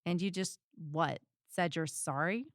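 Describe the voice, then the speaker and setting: Woman playing a smooth, deadpan, irritable assistant. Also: lowish voice, a little slow, no echo, clean studio sound. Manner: deadpan, blunt, sarcastic. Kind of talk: audio drama acting.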